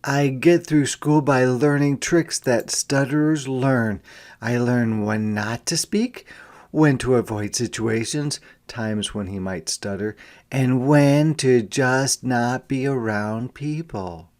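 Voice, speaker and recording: A man reads a storybook aloud in a medium-pitched voice. His pace is slow and deliberate, like a teacher with young listeners. He steps out of the story now and then to explain things more quietly. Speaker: teacher reading a picture book aloud. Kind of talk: interactive read-aloud storytelling with explanatory asides.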